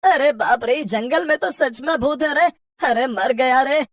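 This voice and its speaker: A woman voicing an excitable, boastful village husband with a rustic Hindi accent in a horror cartoon. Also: high-pitched, measured pace, no echo, clean studio sound. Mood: terrified. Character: boastful village husband, cocky but easily terrified. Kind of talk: cartoon horror story acting.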